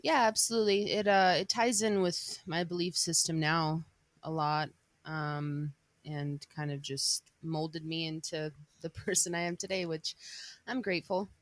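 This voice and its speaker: A young woman, born in Bosnia and raised in Colorado, chatting casually as a podcast guest, relaxed and hesitant with many ums. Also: low-pitched, unhurried, no echo. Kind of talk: casual conversation.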